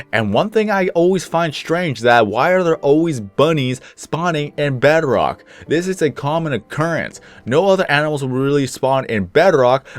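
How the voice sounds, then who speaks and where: A young man with a deep, low-toned voice, slightly sick with some phlegm, giving rambling, easygoing commentary while he plays a game. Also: no room sound. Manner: rambling, easygoing, chuckles at himself. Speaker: gaming channel host. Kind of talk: casual gameplay commentary.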